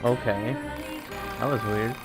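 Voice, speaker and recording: A man gives casual video game commentary at an ordinary pitch. He rambles through explanations with hesitant pauses, while game music plays clearly behind him. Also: fairly even intonation. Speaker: let's player. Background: video game music and sounds.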